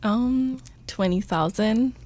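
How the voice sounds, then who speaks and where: A woman's voice: a little high, takes it slowly, warm tone. A young woman from Bangladesh, a college intern, speaking politely and eagerly as a guest on a podcast. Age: young adult. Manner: polite, eager, a little nervous.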